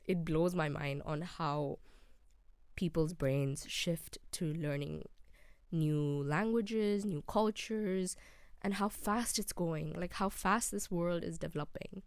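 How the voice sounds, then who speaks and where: A young woman hosting a relaxed solo podcast, rambling casually with frequent ums; English is not her first language. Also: lowish voice, slow, smooth, no echo, clean studio sound. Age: young adult. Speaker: podcast host.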